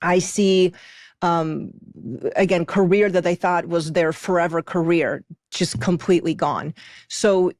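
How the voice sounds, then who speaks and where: Low-pitched woman explaining things in conversation. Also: steady tone, unhurried, darkish tone, no echo, clean studio sound. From Moldova, moved to US at eight. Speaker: podcast guest, intuitive soul contract reader.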